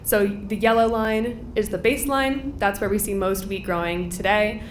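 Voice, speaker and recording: A woman wrapping up a conference presentation, explaining a graph in a relaxed, casual way. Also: medium pitch, normal speed, roomy sound, slight background noise.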